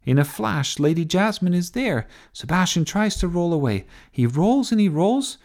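An excitable young girl from a storybook played by a man, bossy and theatrical. The voice is pushed high and swings widely, with emphatic announcer flourishes. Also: normal speed. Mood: excited commentary. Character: bossy, excitable young girl giving breathless play-by-play commentary. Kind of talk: children's storybook character voice.

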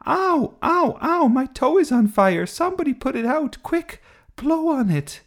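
A man's voice: mid-range voice, huge pitch swings, unhurried, voice pushed up, heavily acted. A man voicing a bossy, dramatic little girl at play in a children's storybook, grandly theatrical in manner. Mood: panicked shouting. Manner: grandly theatrical, mispronouncing big words.

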